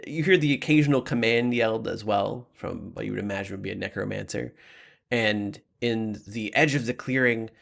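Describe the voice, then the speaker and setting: Creaky-voiced male game master. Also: fairly low pitch, moderate pace, a bit bright. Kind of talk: improvised tabletop game narration.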